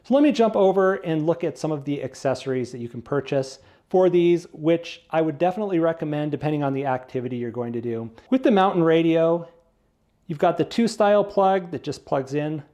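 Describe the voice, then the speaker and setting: Higher-pitched man explaining gear casually. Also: lively intonation, brisk, warm tone. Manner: plain-spoken, non-technical explaining. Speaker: product reviewer. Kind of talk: casual explanatory product review.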